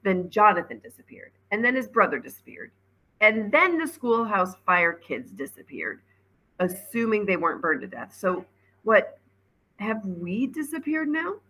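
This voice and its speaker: Lady doing a no-nonsense boy in a kids book, skeptical and impatient, punchy bright voice. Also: medium pitch, big pitch swings, normal speed, voice pushed up, heavily acted, low-quality recording. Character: practical, skeptical, impatient schoolboy.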